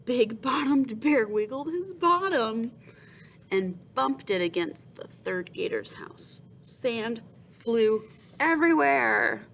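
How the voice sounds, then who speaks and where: A woman reading a children's storybook aloud in an expressive, dramatic storytelling manner. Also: a little high, takes it slowly, creaky, slight background noise. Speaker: storybook reader.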